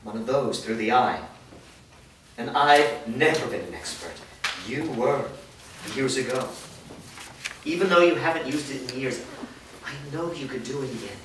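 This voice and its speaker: A man's voice: mid-range voice, expressive, normal speed, pauses often, background noise, natural voice. A man reading the part of a military commander, commanding and urgent, in a staged script reading. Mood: encouraging. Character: gruff military commander rallying soldiers. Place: large studio room. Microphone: far camera mic. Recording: echoey room from far off.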